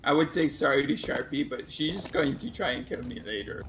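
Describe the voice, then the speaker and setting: Slightly high-voiced male gamer, noisy background. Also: fairly quick. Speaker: crewmate in a multiplayer game. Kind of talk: casual game chat with playful role-play. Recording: game sounds and noise behind voice. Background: video game sounds.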